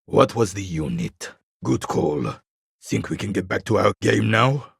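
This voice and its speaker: A proud, embittered old soldier from a video game. His voice is heavily creaky and husky, with curt, scornful delivery that rises and falls in animated swings. He sounds dismissive and full of contempt for weaklings. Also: fairly low pitch, unhurried, no echo, studio quality, full character voice. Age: older. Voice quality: gravelly, raspy. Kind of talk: video game character dialogue.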